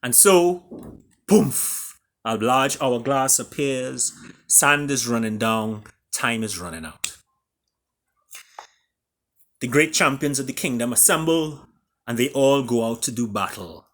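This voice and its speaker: A man reading and retelling a fable as its author, with dramatic pauses and emphatic delivery. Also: medium pitch, lively intonation, fairly bright tone. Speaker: author reading his own book.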